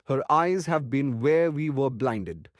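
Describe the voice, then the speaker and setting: A man playing an earnest older professor in an audiobook drama, commanding a band of vampire hunters in deliberate, formal, oddly phrased speech. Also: mid-range voice, lively intonation, no room sound, studio quality. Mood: grave, rallying.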